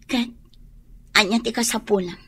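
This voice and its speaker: An actress playing a wounded, guarded young mother with a breathy, emotional delivery in a radio drama. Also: fairly high pitch, steady tone, normal speed, a bit bright. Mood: hurt, guarded. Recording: noisy recording.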